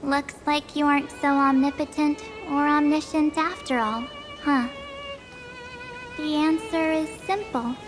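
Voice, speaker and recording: High pitched spirited young game heroine, idealistic n defiant, takes her time, music behind. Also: steady tone, a little slow. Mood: confident, challenging. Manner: earnest, assertive, casual phrasing. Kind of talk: video game voice acting.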